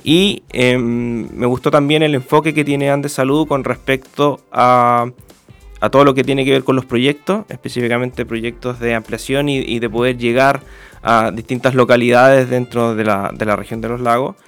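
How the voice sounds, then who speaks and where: A young man with a Chilean accent answering interview questions in a polite, measured, explanatory way. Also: medium pitch, steady tone, faint music behind. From Viña del Mar, Chile. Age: young adult. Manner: polite, measured, explanatory, hedging with set phrases. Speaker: interview guest, clinic commercial manager.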